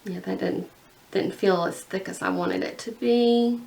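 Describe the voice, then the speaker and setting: A woman's voice: mid-range voice, unhurried, vocal fry, a little mellow. A young woman chatting casually while painting her nails, rambling and full of "like". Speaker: beauty vlogger.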